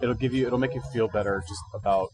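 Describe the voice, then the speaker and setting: A man's voice: on the low side, fairly even intonation, fast talker, music in the background. A man with a slightly congested voice, hosting a casual livestream and rambling through fitness advice in slangy, informal talk. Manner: slangy, informal, thinking aloud. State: recently woken up. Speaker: livestream host giving fitness and diet advice.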